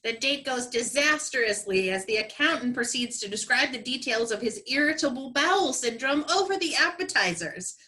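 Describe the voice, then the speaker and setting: A woman's voice: high voice, expressive, moderate pace, hardly any pauses, bright, forceful tone, rough recording. A woman comedian giving sassy, sarcastic narration for a radio play. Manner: dry sarcastic commentary.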